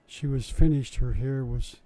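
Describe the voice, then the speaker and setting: A man's voice: mid-range voice, fairly even intonation, a little slow, dark tone, dry recording, low-quality recording. An older man telling stories in a casual interview about running science shows, chatty and wry with frequent restarts. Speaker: interviewee recalling his science show work. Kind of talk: casual oral-history storytelling.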